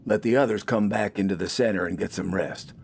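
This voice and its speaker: A man's voice: on the low side, fairly quick, creaky, slight background noise. A man voicing a curt, authoritarian young militia corporal with a terse, commanding and menacing manner. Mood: curt orders.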